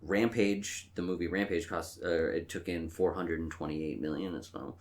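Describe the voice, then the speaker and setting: A man with a low-pitched voice talks as a podcast co-host. He is informal and conversational, giving his opinions bluntly. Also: normal speed. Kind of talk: casual chat.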